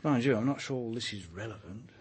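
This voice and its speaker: An actor playing a chatty, wry police detective in a naturalistic radio drama, colloquial and a little hesitant. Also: male voice, mid-range voice, expressive, quick pace, a little mellow, slightly rough. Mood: wry, musing.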